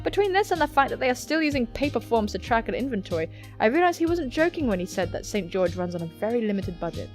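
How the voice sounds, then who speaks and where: A woman's voice: a little high, quick pace, soft background music. A woman hosting gaming videos, reading a visual novel aloud with playful, theatrical commentary and casual swearing. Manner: expressive, theatrical, jokey swearing.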